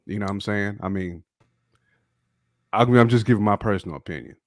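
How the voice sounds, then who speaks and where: A man with a lowish voice talks loosely about music, hedging and restarting his thoughts. His sound is dry and close to the mic, with no room around it. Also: a little fast, no room sound. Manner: laid-back, hedging with fillers and restarts. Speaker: podcast co-host. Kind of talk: casual opinion chat.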